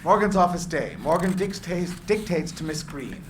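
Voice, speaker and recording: A man's voice: high-pitched, moderate pace, fairly bright tone. A man reading stage directions as scripted narration for a screenplay. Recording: echoey room with clear background noise.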